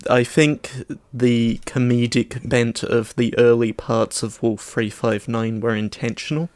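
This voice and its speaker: A man chatting as a podcast co-host at an unhurried pace. His delivery is dry and a little hesitant, and the sound is close and dry with very little room. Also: medium pitch, flat delivery, darkish tone, dry recording. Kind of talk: casual chat.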